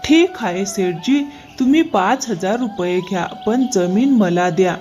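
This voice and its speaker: A woman voicing an earnest, polite young male farmer in an animated moral story. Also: mid-range voice, a little slow, soft background music, lightly acted.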